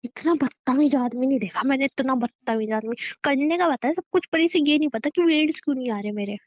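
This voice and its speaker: A woman's voice: high voice, a little fast. A young woman playing an exasperated, scolding girlfriend in a staged phone-call argument, insistent and repeating herself, on a dull call recording. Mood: indignant.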